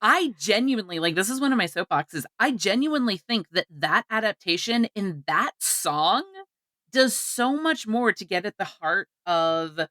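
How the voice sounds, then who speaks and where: A woman's voice: mid-range voice, expressive, moderate pace, sharp tone, dry recording. Emphatic woman in casual chat, dry close sound. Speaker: podcast co-host. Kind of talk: casual panel chat about film adaptations.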